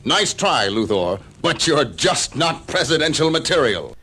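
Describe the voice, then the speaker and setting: An actor playing a confident, righteous male superhero in an old audio drama, declaiming with dramatic pauses. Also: on the high side, big pitch swings, bright, forceful tone, slightly rough, heavily acted. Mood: confident, explaining.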